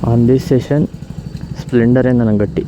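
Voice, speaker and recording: A man's voice: medium pitch, fairly even intonation, a little slow, loud music behind the voice. A man with a Bengaluru accent giving casual, slangy, blunt vlog commentary outdoors as he rides. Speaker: vlogger. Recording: outdoor vehicle and traffic noise.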